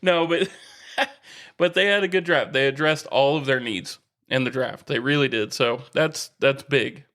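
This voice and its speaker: A man's voice: mid-range voice, moderate pace, fairly bright tone. A man co-hosting a sports podcast, talking in a casual, conversational way with some joking banter.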